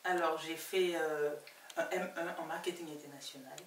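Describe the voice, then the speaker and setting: A woman's voice: deep voice, steady tone, normal speed. A woman with an Ivorian accent telling her own story casually as an interview guest, repeating phrases for emphasis. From Ivory Coast. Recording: roomy, distant mic, faint noise.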